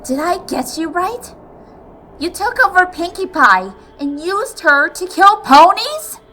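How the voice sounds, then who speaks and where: A woman's voice: extremely high pitch, sing-song, takes it slowly, pushed and bright, a little hiss or hum, full character voice. A woman voicing an earnest, bookish young female pony in a cartoon comic dub, with dramatic delivery. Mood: shocked, dismayed.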